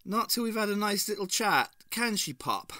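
A man's voice: sits high, expressive, normal speed, pushed and bright, no echo, clean studio sound, pitched above the performer's own voice. A man doing a placid, shrewd old cafe matron. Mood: placid menace. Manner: cosy, motherly turns of phrase. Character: stout older cafe-owner woman, placid and shrewd.

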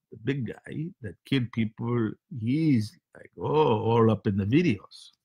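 A man's voice: a little high, pushed and bright, full character voice. A man voicing a rambling foreign male show host who speaks broken English and keeps losing his words. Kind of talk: comedic character monologue.

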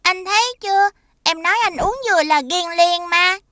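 A woman voicing an eager, chirpy little girl in an animated cartoon. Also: very high voice, normal speed, very noisy. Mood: eager, proud. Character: lively little local girl, eager younger sister showing off hometown.

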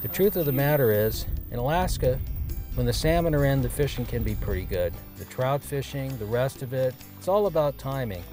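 A man giving calm, measured scripted narration for an outdoor show. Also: medium pitch, faint music behind.

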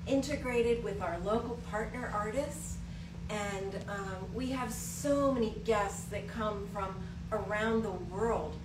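A middle-aged woman giving an informal, earnest talk to an event audience, with many ums. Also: mid-range voice. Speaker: nonprofit program leader. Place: gallery room indoors. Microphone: far camera, no mic. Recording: roomy echo from far off, with room noise.

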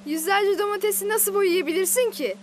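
A woman's voice: extremely high pitch, normal speed, a little hiss or hum. A woman voicing a cheerful young boy, a teasing big brother in a children's cartoon. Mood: amused. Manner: boyish, teasing.

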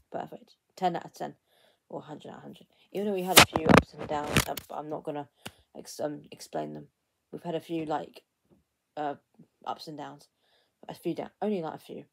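A young woman talking to her phone in her bedroom late at night, her voice hoarse and fading from screaming. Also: low-pitched, poor audio. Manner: chatty, tired late-night talk. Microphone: phone camera held close.

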